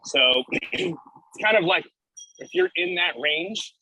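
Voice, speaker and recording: Bright male caller, upbeat, close dry sound. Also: high-pitched, sing-song, slow, gravelly, breathy edge, no room sound, rough recording. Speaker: call participant. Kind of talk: casual chat on a video call. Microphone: video call.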